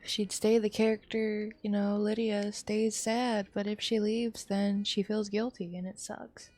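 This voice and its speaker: A woman's voice: mid-range voice, level tone, a little slow, darkish tone. A woman giving casual, emotive live commentary while streaming games, with sighs and folksy mild exclamations.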